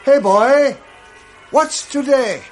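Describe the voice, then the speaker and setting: An actor playing a joyful, newly reformed elderly Englishman in a 1960s radio drama, excitable and effusive. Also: extremely high pitch, extremely slow, music in the background, heavily acted. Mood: giddy joy. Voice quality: excitable, chuckling. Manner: exclamatory, effusive.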